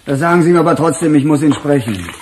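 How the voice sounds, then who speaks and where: An actor playing a seasoned, skeptical chief inspector in a German radio crime play, speaking bluntly and drily. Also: male voice, a little high, animated, fast talker, darkish tone, slight background noise, lightly acted. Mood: dry, insistent.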